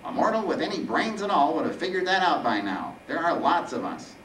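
A petty, sarcastic Grim Reaper acted out by a man from a comic storybook. The voice is nasal and whiny, lively in pitch and long-winded. It sounds roomy and a little distant from the mic. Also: fairly quick, a little hiss or hum. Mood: smug, sarcastic.

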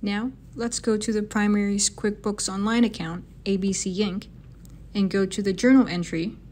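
A woman reading scripted narration for a software demo in an instructional, step-by-step manner. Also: medium pitch, fairly even intonation, normal speed, a little hiss or hum.

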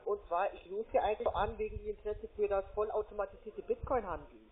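High-voiced salesman on a tinny phone. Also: fairly even intonation, quick pace. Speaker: call centre sales agent. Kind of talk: cold sales call. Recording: tinny narrowband phone line.

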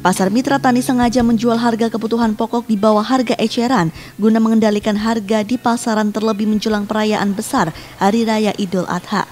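A woman's voice: a little high, fairly quick, faint music behind. Woman reading a formal news voice-over. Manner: even, formal read. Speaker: news reporter.

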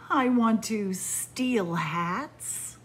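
A middle-aged woman librarian reading a picture book aloud to young children in a library, in a sing-song way with soft hushed asides. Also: mid-range voice, expressive, extremely slow, breathy edge. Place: library with bookshelves, indoors.

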